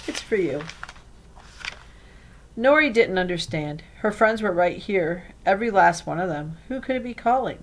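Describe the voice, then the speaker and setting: A woman reading a children's storybook aloud in an expressive way. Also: medium pitch, moderate pace, few pauses, a little hiss or hum, poor audio. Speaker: read-aloud reader.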